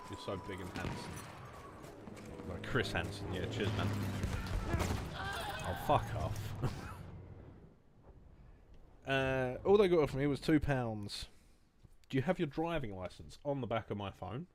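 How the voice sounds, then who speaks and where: Male, late 20s, southern English accent. Lower register, sweary casual streamer banter, clear game noise behind. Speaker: game livestreamer. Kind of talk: casual rambling chat while gaming.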